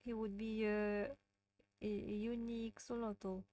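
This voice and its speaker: A woman's voice: mid-range voice, little pitch movement, slow, mellow. A woman from France with a French accent, hosting a casual livestream and chatting with viewers, often giggling. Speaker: livestream host.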